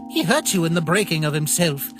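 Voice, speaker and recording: A man voicing a naive, caring younger-brother skeleton in a comic dub, speaking earnestly and haltingly in a soft plea. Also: fairly high pitch, sing-song. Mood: gently pleading. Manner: earnest, halting, softly pleading. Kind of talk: dramatic comic dub acting. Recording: voice over background music.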